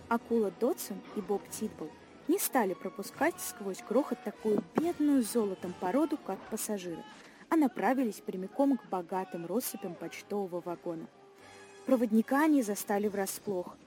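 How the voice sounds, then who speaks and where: A woman's voice: mid-range voice, dark tone. A young woman reading scripted narration for an audio play of a short story. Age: young adult.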